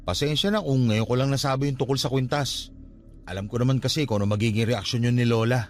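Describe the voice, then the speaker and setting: An actor playing a defensive, evasive young Filipino nephew in a radio drama, pleading and argumentative. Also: male voice, mid-range voice, fairly even intonation, fast talker, faint music behind, natural voice. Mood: sheepish, defensive.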